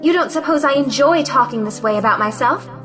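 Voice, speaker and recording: Young unmarried heroine, female actor, period audio play: high bright voice, earnest, outspoken, music faint behind. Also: animated, brisk. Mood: bitterly frank. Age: young adult. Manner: earnest, plaintive, articulate. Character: defiant, frank young unmarried woman of means. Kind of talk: theatrical audio drama acting of a 1917 play.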